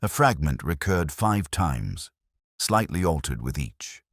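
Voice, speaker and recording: A man with an extremely low, husky voice narrates a detective story in the first person. He sounds like a weary, introspective investigator, brooding and measured in short literary phrases. His pitch moves expressively, and the recording is dry and close. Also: big pitch swings, a bit bright, dry recording.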